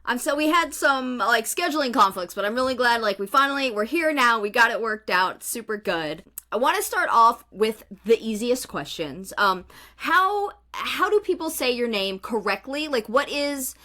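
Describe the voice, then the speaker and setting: Bright-voiced woman podcast host. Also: fairly high pitch, sing-song, normal speed. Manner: chatty, lots of fillers and "like". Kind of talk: casual interview chat.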